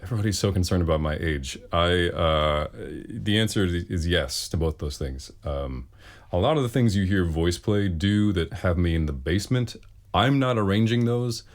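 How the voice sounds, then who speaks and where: A man with a very deep bass voice, a singer casually answering questions with wry, self-deprecating humor. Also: animated, normal speed. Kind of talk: casual Q and A talk.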